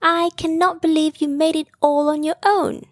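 A woman's voice: extremely high pitch, flat delivery, moderate pace, no echo, studio quality, pitched above the performer's own voice. A woman voicing a young, earnest kangaroo child full of admiration in a bedtime storybook. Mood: admiring. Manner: earnest, wide-eyed, encouraging.

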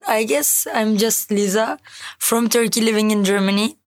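A woman's voice: a little high, normal speed. A woman, a seminar participant, speaking in a hesitant, thoughtful way.

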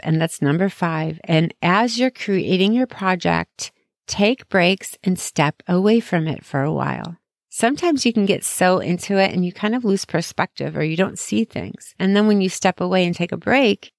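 Woman, podcast host, casual tips chat, hoarse, raspy fry, dead dry sound. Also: lowish voice, no room sound. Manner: chatty and encouraging, friendly asides. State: losing her voice.

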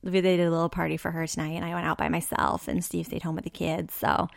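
A woman in her thirties co-hosting a podcast, chatting casually with a hoarse, scratchy voice and long, rambling thoughts. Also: on the low side, flat delivery, quick pace, dry recording, clean studio sound. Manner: chatty, talks in long running thoughts with many fillers. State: hoarse, scratchy voice after a loud party. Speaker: podcast co-host. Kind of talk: casual chat.

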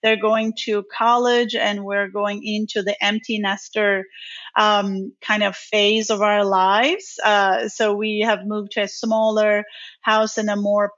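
A woman's voice: medium pitch, little pitch movement, slow, a bit bright. A woman in her forties, originally from Turkey, answering interview questions in a chatty way with many ums and restarts. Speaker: podcast guest, training company founder. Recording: dull lo-fi sound.

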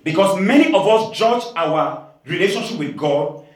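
A man's voice: high-pitched, animated, moderate pace. Pastor from Cameroon, male, emphatic sermon delivery, echoey church hall. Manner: emphatic preaching, laughing asides, rising to shouts.

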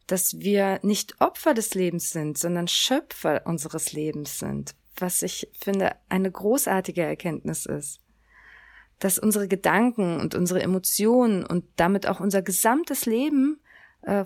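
A woman in her thirties from Germany hosting a solo podcast, talking in an earnest, gentle way with the odd um. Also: medium pitch. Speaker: podcast host.

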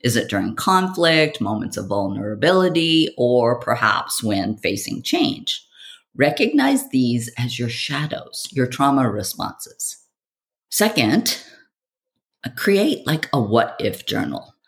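A podcast host and coach reads a scripted monologue with theatrical flair. The voice has a lot of vocal fry and moves widely in pitch, putting questions and appeals directly to the listener. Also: sing-song, slow.

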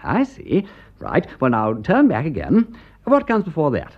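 An actor playing a genial upper-class English gentleman detective in a radio drama, chatting and coaxing in an amused way. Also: a little high, huge pitch swings, unhurried, exaggerated voice. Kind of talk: full-cast radio drama acting. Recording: slightly dull old radio recording.

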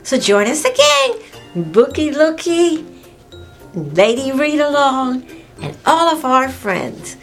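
A woman hosting story time, reading aloud to young children with exclamatory, drawn-out phrasing and repeated words for emphasis. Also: high-pitched, big pitch swings, faint music behind.

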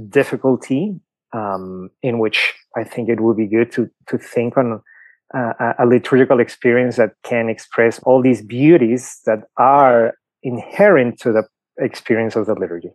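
A man's voice: mid-range voice, moderate pace, a little mellow, no echo, poor audio. A middle-aged man from Peru with a Peruvian Spanish accent, a priest explaining his views in a podcast interview with many fillers and examples. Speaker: priest guest.

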